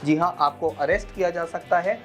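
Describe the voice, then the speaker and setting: A woman's voice: fairly low pitch, moderate pace. An Indian woman presenting a scripted legal explainer, instructing viewers and mixing in English terms. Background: background music.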